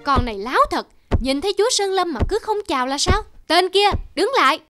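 An arrogant cartoon lion who thinks he rules the jungle. He blusters and makes demands in a raised, high voice over faint music. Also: animated, brisk. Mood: haughty, indignant. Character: arrogant, quick-tempered lion who calls himself jungle king. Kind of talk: cartoon voice acting.

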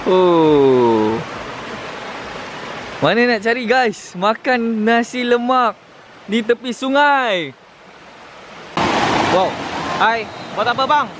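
A man's voice: very high voice, drawn-out words, long pauses, a little hiss or hum. A young man hosting a casual camping vlog outdoors, talking into a handheld camera and mixing in English. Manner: chatty, lively, mixes English into Malay. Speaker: vlogger host. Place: outdoors at a forest campsite. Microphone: handheld camera at arm's length. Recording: music playing under the voice.

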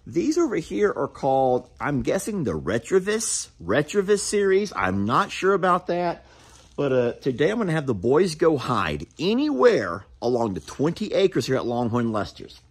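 Man, 30s, husky farm vlogger, animated delivery. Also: on the high side, moderate pace. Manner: folksy, explains to viewers, hollers to his kids. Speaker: vlog host. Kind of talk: casual farm vlog. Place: outdoors on a rural property. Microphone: phone camera at arm's length.

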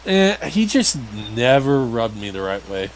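Male gaming host, mid-pitched and lively in intonation, casual wry commentary. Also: measured pace, a little hiss or hum, low-quality recording. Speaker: gamer hosting a playthrough. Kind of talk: casual let's play commentary.

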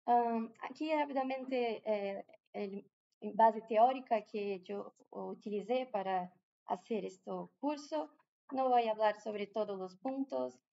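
A woman's voice: fairly high pitch, takes it slowly, creaky, dark tone, highs cut off. A Brazilian woman with a Portuguese accent giving a conference talk in a large room, careful and often restarting her words. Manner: careful, with frequent hesitations and restarts. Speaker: conference speaker. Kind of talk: conference presentation.

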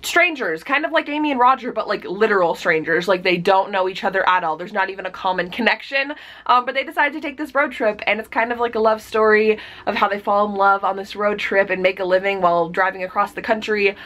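A young woman talks straight to the camera from a small bedroom, chatty and upbeat. Her pitch sits in the ordinary middle, and she fills her sentences with "like" and "kind of" as she runs through her picks. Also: brisk, a bit bright. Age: young adult. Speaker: book vlogger. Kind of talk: casual book recommendations to camera.

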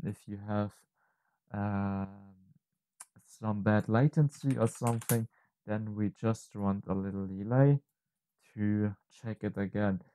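A man narrating an unscripted software tutorial, thinking aloud haltingly and correcting himself as he goes. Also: lowish voice. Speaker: tutorial presenter.

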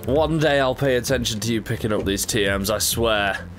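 A man's voice: lowish voice, moderate pace, fairly bright tone, soft background music. A man in his thirties hosting a casual gameplay stream, joking and laughing often while he has a cold. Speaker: gaming channel host.

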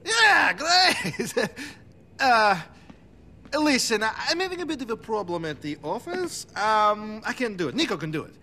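Whiny, excitable small-business hustler for a game; forceful, very high voice. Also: male voice, unhurried, a little hiss or hum, full character voice. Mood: hesitant, sheepish. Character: frustrated, hard-luck immigrant cab-office boss. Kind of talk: video game voice acting.

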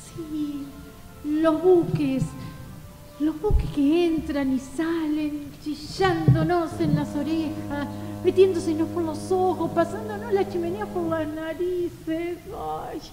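A woman's voice: very high voice, fairly even intonation. A woman playing a weary, exasperated older female office clerk, plaintive yet defiant, in a radio play. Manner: plaintive, drawn-out complaining. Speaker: amateur radio theatre actor. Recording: echoey hall with faint music.

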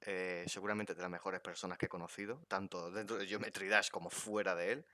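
A man's voice: low voice, level tone, fairly quick, pushed and bright, dry recording. A young man from Spain with a Spanish accent, hosting a casual podcast chat on a headset and joking around with his guest. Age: young adult. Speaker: podcast host. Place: bedroom streaming setup.

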